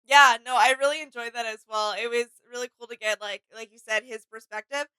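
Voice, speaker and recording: Girl co-hosting a reaction show, bright clear voice, casual and agreeable. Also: fairly high pitch, steady tone, brisk, no echo, rough recording. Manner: agreeable, appreciative. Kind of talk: casual chat.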